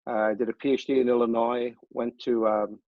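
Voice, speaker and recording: A man with a Welsh accent, a professor, introducing himself over a video call in a relaxed, plain-spoken way. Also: medium pitch, a little fast, dry recording. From Wales. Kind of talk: interview guest. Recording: dull lo-fi audio.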